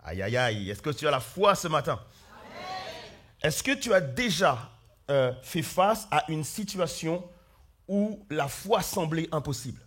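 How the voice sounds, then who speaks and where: A man preaching a sermon as pastor, hoarse with flu, earnestly calling out questions to his congregation. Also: fairly high pitch, sing-song, a little slow, fairly bright tone. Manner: earnest, calls out questions to the congregation, many fillers and restarts. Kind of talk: sermon with opening prayer. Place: church hall.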